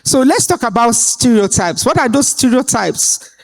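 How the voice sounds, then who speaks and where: Female panel moderator, measured and authoritative, at a conference. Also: a little high, lively intonation, rough recording. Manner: deliberate, authoritative delivery with pauses. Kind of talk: introducing panelists at a live conference. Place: conference hall stage.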